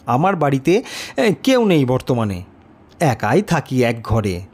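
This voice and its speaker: Male audiobook narrator for a first-person horror tale: very expressive, swooping intonation, unhurried and dramatic. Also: mid-range voice, husky.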